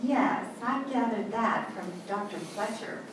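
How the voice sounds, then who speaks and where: A woman's voice: mid-range voice, unhurried, over music, lightly acted. A woman playing a polite, self-effacing nurse in an old-time radio drama. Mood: modest, composed. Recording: very echoey, distant, noisy stage recording.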